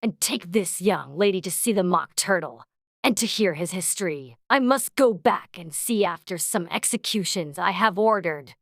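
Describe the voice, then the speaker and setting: A man's voice: high voice, animated, normal speed, gravelly, no room sound, pitched above the performer's own voice. A bad-tempered storybook queen , played by the narrator, curt and commanding. The voice is lifted well above the narrator's own, savage and imperious, with a dry close sound. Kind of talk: dramatized audiobook character voice.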